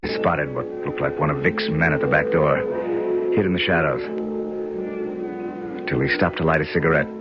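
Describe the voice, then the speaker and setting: A man's voice: very deep voice, fairly even intonation, moderate pace, long pauses. An actor playing Bill, a tough man telling his escape story in hard-boiled first-person narration for a 1970s radio drama. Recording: muffled old radio broadcast with music.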